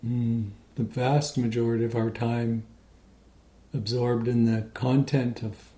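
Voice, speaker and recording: Male, meditation guide, mid-range pitch, unhurried, frequent pauses, dark relaxed timbre. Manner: slow, pausing, gentle delivery.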